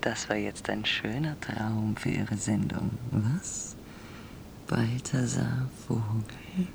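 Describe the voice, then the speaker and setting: An actress playing a mysterious, tender dream woman, speaking in an intimate breathy whisper with an or lilt. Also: rumbling low, background noise, full character voice. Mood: dreamy, sly. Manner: slow, intimate. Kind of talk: whispered radio drama acting.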